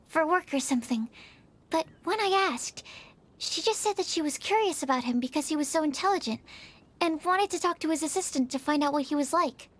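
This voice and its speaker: Very high-voiced, earnest young assistant. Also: female voice. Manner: earnest, polite, gently explanatory. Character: sweet, earnest young female alchemist's assistant. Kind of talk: video game character acting. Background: background music.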